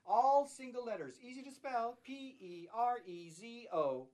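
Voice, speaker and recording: A man's voice: very high voice, expressive, measured pace, a loud crowd. A man hosting a whimsical live show in a playful, theatrical and effusive manner. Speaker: host.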